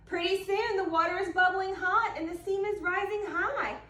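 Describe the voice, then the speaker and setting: Woman reading a bedtime picture book, very high voice, slow, echoey room. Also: recorded from a distance. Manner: slow expressive storytelling with Cajun-flavored phrasing. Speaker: storybook reader. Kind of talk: animated read-aloud for children.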